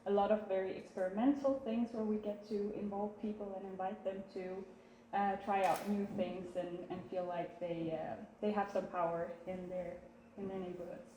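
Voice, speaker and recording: A woman architect introducing herself on a panel, measured and trailing off. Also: mid-range voice, steady tone. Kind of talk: live panel discussion. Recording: echoey room, faint noise.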